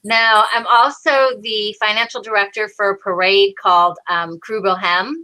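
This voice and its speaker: A woman with a bright, sharp voice chats as a guest over a video call. Her talk is informal and halting, with a self-deprecating streak. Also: medium pitch, steady tone, low-quality recording. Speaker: guest performer on the show.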